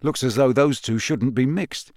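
A man's voice: mid-range voice, normal speed, darkish tone, dry recording, studio quality, lightly acted. A man voicing a cheeky, matter-of-fact teenage prankster boy in an audiobook, with a light, offhand manner. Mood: light, amused. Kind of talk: audiobook character acting.

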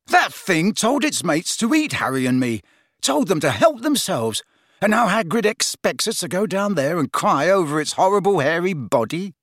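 A man's voice: mid-range voice, very animated, normal speed, breathy edge, no room sound, clean studio sound. A man voicing a blunt, grumbling teenage boy with an indignant, incredulous manner. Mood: furious, incredulous.

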